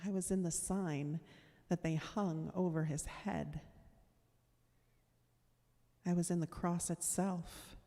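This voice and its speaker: Woman as mellow, slow, somber Shame. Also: on the low side, gravelly, exaggerated voice. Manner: solemn, measured. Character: Shame personified, somber, insidious, ever-present spirit. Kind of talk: dramatic church reading, monologue. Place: church sanctuary during a service. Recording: reverberant church hall.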